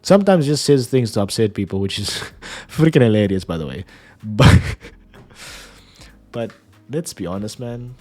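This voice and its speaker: Male streamer, sinus-stuffed nasal voice, low and warm, easygoing joking delivery. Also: animated, normal speed, faint music behind. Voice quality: nasal from a cold. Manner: laid-back, joking, calls listener dude and bro. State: sick with sinus trouble. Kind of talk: casual chat about streaming.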